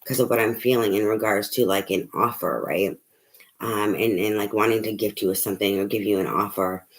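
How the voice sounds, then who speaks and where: This is a hoarse, fading voice from a tarot reader who is talking to camera. The delivery is soft and a little quiet. It wanders in a loose, casual way, padded with fillers and the occasional offhand curse. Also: deep voice, steady tone, brisk, poor audio. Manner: rambling, filler-heavy, swears casually; speaking softly. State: losing voice, hoarse.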